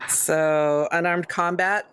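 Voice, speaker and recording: Low-pitched woman in casual game chat. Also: steady tone, slow, a bit bright. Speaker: player. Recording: faint room noise and ambience.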